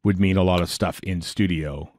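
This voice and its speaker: Low-voiced rambling man on a podcast. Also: fairly even intonation, darkish tone, dry recording, clean studio sound. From United States. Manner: rambling, halting with fillers. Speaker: podcast co-host. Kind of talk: casual chat.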